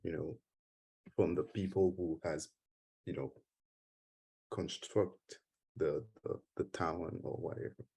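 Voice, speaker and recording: A man from Haiti with a Haitian accent, giving an earnest conference talk and taking questions, halting at times with repeated words. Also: low voice, fairly even intonation, gravelly, warm tone, slightly rough, poor audio. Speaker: conference speaker. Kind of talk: conference speech then Q&A.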